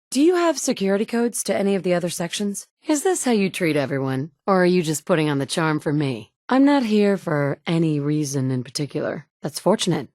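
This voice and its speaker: A woman voicing the female player character of a game, a blunt adult wasteland survivor with a brisk, dry, often curt way of talking. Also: on the low side, darkish tone, dry recording. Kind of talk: game dialogue lines.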